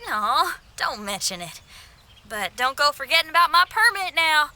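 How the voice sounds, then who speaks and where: A woman's voice: high-pitched, big pitch swings, normal speed, pushed and bright, exaggerated voice. A woman voicing a breezy, confident young female adventurer in a video game, with a folksy, casual, spirited manner. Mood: friendly, confident.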